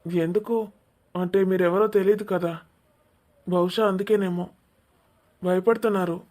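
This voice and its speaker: A woman's voice: on the low side, fairly even intonation, unhurried, warm tone, natural voice. A woman voicing a polite, tactful young man who placates his elders in a cartoon family drama. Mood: placating.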